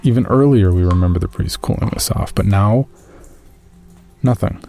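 A man's voice: lowish voice, normal speed, warm tone, faint music behind, lightly acted. A man playing an amateur caver, reading his uneasy journal as first-person horror story narration. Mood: measured, uneasy.

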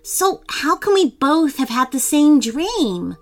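Woman acting an eager, high-pitched storybook girl. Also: very animated, slow, soft background music, heavily acted. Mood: insistent. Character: eager, insistent young girl, big sister. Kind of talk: children's storybook character voice.

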